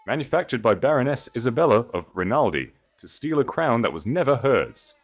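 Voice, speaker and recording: A man's voice: mid-range voice, very animated, fairly quick, pitched above the performer's own voice. A man voicing a proud, grave sovereign grand duke with a declamatory, regal delivery in a romance audiobook. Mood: wrathful declaration. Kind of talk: dramatic romance audiobook dialogue.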